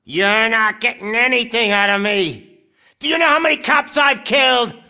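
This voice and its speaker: An actor playing a cocky, drugged-up, foul-mouthed gang villain in a video game, brash, showy and taunting. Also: male voice, very high-pitched, normal speed, smooth, exaggerated voice. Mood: defiant taunting. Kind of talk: over-the-top video game villain acting. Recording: loud music behind the voice. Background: loud music.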